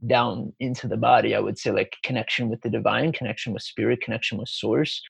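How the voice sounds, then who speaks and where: A man's voice: mid-range voice, fairly even intonation, brisk, vocal fry, slightly rough, no echo. A man from Chicago, a spiritual guide, talking as a podcast guest in an easygoing, thoughtful way. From Chicago, USA. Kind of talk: reflective interview talk.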